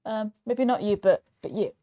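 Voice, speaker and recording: A woman's voice: a little high, animated, a little slow, dark tone, highs cut off. A woman co-hosting a casual show in a chatty way, hesitant and often stumbling over repeated words. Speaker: show co-host.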